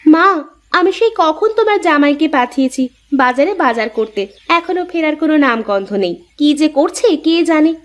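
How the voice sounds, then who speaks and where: A woman voicing a sharp-tongued, resentful young village wife in a cartoon, scornful and complaining. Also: high-pitched, expressive, normal speed. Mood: demanding, complaining. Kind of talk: cartoon voice acting.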